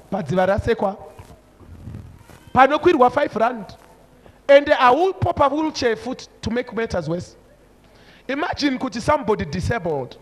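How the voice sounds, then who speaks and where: A man in his forties with a Zimbabwean accent preaching a sermon, emphatic and repeating short phrases. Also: extremely high pitch. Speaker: preacher, church overseer. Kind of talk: sermon switching between English and another language. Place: large church hall before a congregation. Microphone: handheld microphone.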